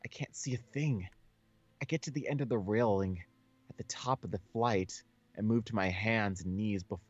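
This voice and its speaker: A man with a fairly low voice reads game narration aloud in a casual playthrough style. The sound is close and dry, with a slightly dull, lo-fi microphone. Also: moderate pace, no echo, soft background music. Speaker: co-host playing and reading a visual novel aloud. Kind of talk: reading game text aloud with casual reactions.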